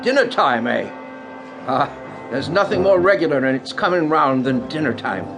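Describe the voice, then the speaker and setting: A kindly old porter in a radio play. His pitch swings freely as he mutters and exclaims in folksy working-class phrases. Music plays behind him. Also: animated, moderate pace. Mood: eager, musing. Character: humble, kindly, spare old porter, doting father. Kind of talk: radio drama acting.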